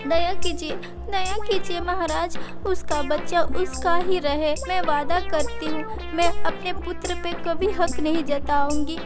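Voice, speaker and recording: A woman's voice: very high voice, fairly even intonation, unhurried, few pauses, over music. A woman playing an earnest young mother in a cartoon, addressing a king in an emotional, formal, pleading manner. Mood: desperate pleading. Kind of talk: Hindi cartoon dubbing.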